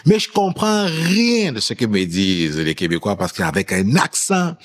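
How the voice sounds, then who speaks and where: A man's voice: fairly low pitch, sing-song, normal speed. A man telling a personal story in an interview, acting out bits of dialogue as he recounts it. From immigrant who lived in France before Quebec. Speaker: guest telling his faith story.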